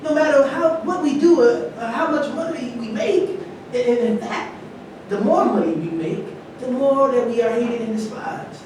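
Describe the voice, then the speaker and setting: A man playing an argumentative, embittered former football star in a staged reading of a play. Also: normal speed. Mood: bitter, insistent. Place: large reverberant room at a staged reading. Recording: very echoey hall, distant mic, rumbling noise.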